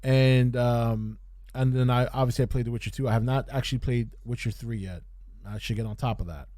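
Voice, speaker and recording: A man's voice: mid-range voice, steady tone, mellow, dry recording. Man casually reviewing, dry close sound. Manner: halting, repeats phrases for emphasis. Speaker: podcast host reviewing TV shows. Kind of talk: casual unscripted review commentary.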